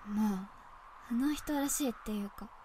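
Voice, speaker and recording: A woman playing a wistful, resolute girl in an audio drama, speaking softly with dramatic pauses. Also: medium pitch, a little hiss or hum, lightly acted. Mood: quiet, loyal. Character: wistful, resolute girl who has turned man-eater. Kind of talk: Japanese audio drama acting.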